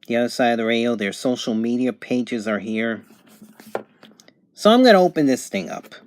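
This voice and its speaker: A man giving a casual, unscripted product review at home, thinking aloud in halting phrases. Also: medium pitch, animated. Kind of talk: casual unboxing and review narration. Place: home bedroom, indoors.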